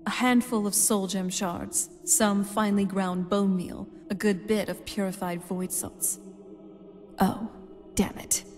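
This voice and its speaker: A woman playing a young-looking, knowing vampire in a fantasy video game, with a dry, measured way of explaining. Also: mid-range voice, fairly even intonation, a little mellow. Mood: wry, frustrated. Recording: long hall reverb, music underneath. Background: game music.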